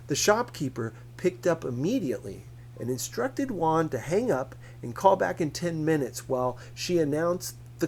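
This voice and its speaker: A man reading a novel aloud as scripted narration, in a measured, deliberate manner. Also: medium pitch, vocal fry, darkish tone, slight background noise. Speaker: audiobook reader.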